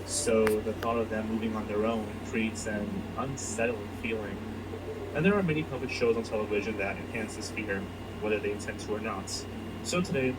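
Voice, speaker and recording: A man reading scripted narration for a list video, heard as noisy replayed audio. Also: lowish voice.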